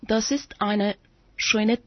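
A woman's voice: mid-range voice, steady tone, slow, dry recording. A woman with a Turkish accent speaks as a guest in a conversational radio interview. She is a little hesitant, feeling for her words. The sound is dry and close, slightly dull. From Turkey. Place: radio studio.

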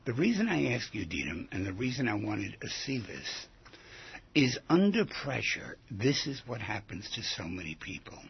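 A man's voice: fairly low pitch, very animated, moderate pace, husky. A man hosting a conversational radio interview, probing his subject with repeated and rephrased questions. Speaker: radio show host. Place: radio studio. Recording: dull, muffled lo-fi radio audio.

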